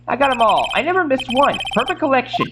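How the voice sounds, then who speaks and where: Lady doing an excitable little boy superfan from a courtroom game, squeaky high, super animated, music playing behind. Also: quick pace, background noise. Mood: excited, bragging. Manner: stammering, exclaiming protests. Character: excitable young boy witness, stubborn Steel Samurai superfan.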